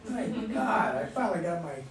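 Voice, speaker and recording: A man's voice: high-pitched, very animated, normal speed, a crowd in the background. A man in an audience giving informal critical feedback during a group discussion. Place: room at a live play reading. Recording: very echoey room, far from mic, faint noise.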